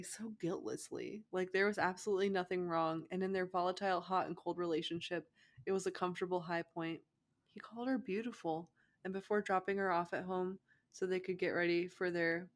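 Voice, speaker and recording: A young woman narrates fan fiction as a co-host. Her voice sits a little low for a woman. The style is informal story reading with room for casual asides.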